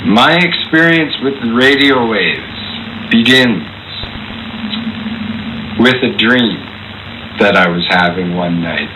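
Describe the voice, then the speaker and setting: Low-voiced man, deliberate and halting. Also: lively intonation. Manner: deliberate, halting, over-precise. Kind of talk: personal anecdote. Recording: clear background noise.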